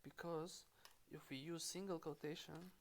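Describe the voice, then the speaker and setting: Gravelly Albanian-accented woman explaining code. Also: low voice, fairly even intonation, a little slow, slight background noise. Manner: explains step by step, spelling out code aloud, with small self-corrections. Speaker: programming tutor. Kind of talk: screen-recorded coding tutorial.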